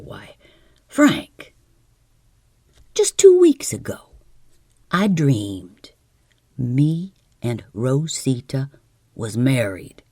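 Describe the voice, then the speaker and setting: A woman's voice: extremely low pitch, warm tone, husky, no echo, studio quality, pitched below the performer's own voice, heavily acted. A woman reading the part of a cold, grim young male outlaw who speaks in a mild drawl.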